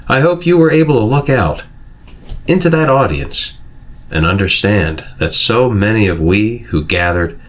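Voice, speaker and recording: A middle-aged man reading a scripted personal tribute in an eloquent, measured way, as a fan's recorded message. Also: on the low side, lively intonation. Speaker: fan sending in recorded feedback to a podcast.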